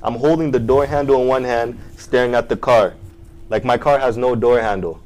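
A man's voice: medium pitch, moderate pace, darkish tone. A man hosting a casual podcast chat, talking in laid-back slang and asking his guests lots of questions. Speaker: podcast host.

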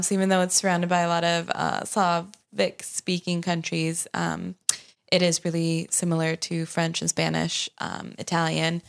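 A young woman with a fairly low voice, from Sweden, chatting as a podcast guest. She talks in a loose, unhurried way and fills her pauses with ums and uhs. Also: gravelly. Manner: relaxed, hesitant with frequent ums and uhs. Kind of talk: casual conversational storytelling.

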